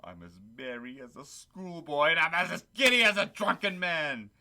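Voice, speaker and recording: A man's voice: fairly high pitch, expressive, normal speed, pushed and bright, heavily acted. A man playing a fervent, theatrical old miser in a radio play. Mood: giddy joy. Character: old miser Scrooge, pleading then giddily redeemed. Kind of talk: stage radio play acting.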